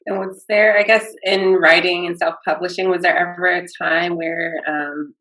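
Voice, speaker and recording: A woman co-hosting a podcast with a fairly low voice. She chats in an easygoing way, laughs along with the host and asks the guest questions. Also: steady tone, normal speed, a bit bright, low-quality recording. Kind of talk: casual chat and interview questions.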